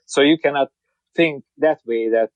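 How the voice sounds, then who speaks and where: A man from Hungary speaking English with a Hungarian accent as a podcast guest, talking in broken, halting sentences with many repeated words and restarts. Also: medium pitch, no echo, phone-quality sound. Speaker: guest, car wrap shop owner. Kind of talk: casual interview.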